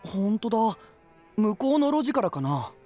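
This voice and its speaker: Soft-hearted boyish anime hero, very expressive pitch, casual, faint music. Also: moderate pace. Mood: sympathetic. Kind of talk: Japanese anime audio drama acting.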